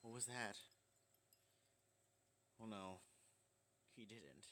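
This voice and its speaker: A man's voice: lowish voice, expressive, drawn-out words, a little hiss or hum. A man reading a visual novel aloud as dramatic narration, casual and prone to stumbling over lines. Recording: loud music under the voice. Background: game music.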